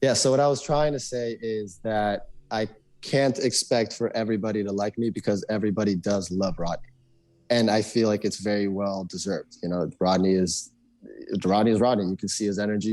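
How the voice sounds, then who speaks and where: Young man, from Argentina, native Spanish speaker, rapid, lowish voice, rambling casual chat. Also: a little mellow, dry recording. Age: young adult. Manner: fast, rambling, many restarts and fillers. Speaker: podcast guest.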